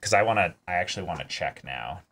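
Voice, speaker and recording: A man with a fairly low voice talks through his thoughts in a casual reaction show. He is reflective and somewhat halting, with loose, conversational language. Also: animated, gravelly, fairly bright tone, breathy edge. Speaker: host of an anime reaction show.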